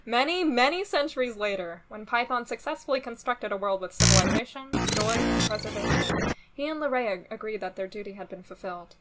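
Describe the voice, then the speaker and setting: A woman playing a patient storyteller who narrates an ancient creation myth in an animated drama. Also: mid-range voice, brisk, faint music behind, poor audio. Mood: solemn storytelling.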